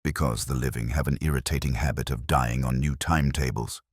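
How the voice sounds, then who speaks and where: A man voicing a grim, shrewd detective in an audiobook, speaking in a grave, incisive, deliberate manner. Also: very deep voice, steady tone, breathy edge, no echo, clean studio sound. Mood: cold, pointed. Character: grim, shrewd male detective, coolly commanding. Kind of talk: audiobook character dialogue.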